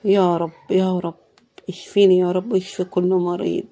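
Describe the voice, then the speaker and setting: A woman with an Egyptian Arabic accent talks through her cooking in a hoarse, worn-out voice. She sounds tired and speaks casually, often murmuring thanks to God. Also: fairly low pitch, little pitch movement, normal speed, dark tone. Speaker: cooking vlogger.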